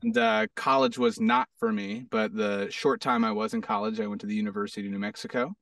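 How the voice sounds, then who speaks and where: A man from the United States chatting casually as a guest and telling stories in an animated way. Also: on the low side, brisk, vocal fry, dry recording, far from the mic. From United States: Hawaii and Arizona. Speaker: podcast guest, actor and stunt performer. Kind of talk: casual chat, storytelling.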